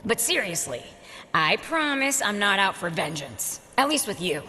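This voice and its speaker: A woman's voice: mid-range voice, sing-song, normal speed, sharp tone. An actress playing a sassy, flirtatious gorgon woman in a video game, with a teasing, sarcastic, brash delivery. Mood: brash, playful. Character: sassy, flirtatious snake-haired gorgon woman without a body. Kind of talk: video game character acting. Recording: long reverb, faint music underneath.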